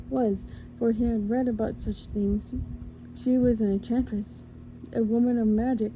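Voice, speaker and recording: Soft, slow woman reading a storybook. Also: fairly high pitch, a little hiss or hum, rough recording. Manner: gentle, calm, with small slips and restarts. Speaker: ASMR channel host.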